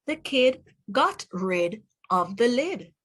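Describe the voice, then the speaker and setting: A woman reading aloud to young children as a teacher, pronouncing words deliberately one by one and putting questions to the listener. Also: on the high side, big pitch swings, fairly bright tone, husky. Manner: deliberate word-by-word enunciation, asks the listener questions. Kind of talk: read-aloud phonics lesson for children.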